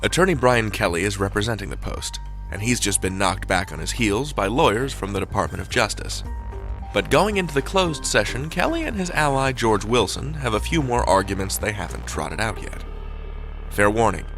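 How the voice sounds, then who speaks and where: A man's voice: fairly low pitch, expressive, moderate pace, fairly bright tone, faint music behind. A man hosting a podcast, reading a scripted introduction with wry, dramatic emphasis. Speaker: podcast host.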